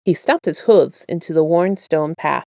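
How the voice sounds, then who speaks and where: Slightly low-voiced woman reading a story. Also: moderate pace, gravelly, warm tone, no room sound, studio quality. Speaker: podfic writer and narrator. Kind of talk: casual intro and scripted story narration.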